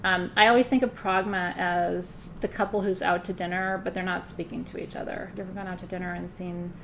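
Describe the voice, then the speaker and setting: A woman's voice: fairly low pitch, level tone, a little fast, vocal fry, a little hiss or hum. A woman teaching a live spiritual class in a loose, conversational way, often checking in with her listeners. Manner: loose, frequent fillers and restarts.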